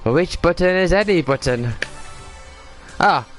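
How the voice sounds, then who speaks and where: A man's voice: a little high, expressive, slow, soft background music. A man with a cold, chatting casually while streaming a game and thinking aloud as he plays. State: has a cold. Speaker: livestreamer playing a game. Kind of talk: casual gameplay chat.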